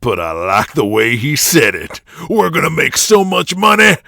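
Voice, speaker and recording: A man playing a gruff, foul-mouthed, money-minded boss of a wrestling promotion, talking bluntly. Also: on the high side, wildly swooping pitch, moderate pace, bright, forceful tone, dry recording, studio quality, voice pushed up, full character voice. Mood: excited.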